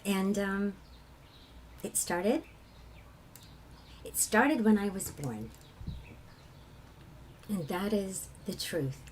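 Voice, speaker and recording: A woman from England with a low, husky voice, a singer telling personal stories in a reflective conversation. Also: expressive, drawn-out words, long pauses, slight background noise. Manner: reflective, laughs often while telling stories. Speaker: kirtan singer.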